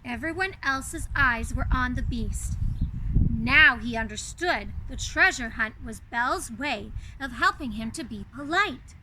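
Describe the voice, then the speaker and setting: A woman reading a children's story aloud as a fairy-tale princess, expressive and carefully enunciated. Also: sits high, a little hiss or hum, poor audio. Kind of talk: animated children's read-aloud.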